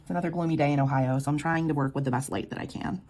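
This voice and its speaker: A woman with a sore, scratchy throat, quietly counting bills aloud in a casual budgeting vlog. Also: low voice, steady tone, rapid-fire. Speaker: budgeting vlogger. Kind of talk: casual cash-stuffing walkthrough.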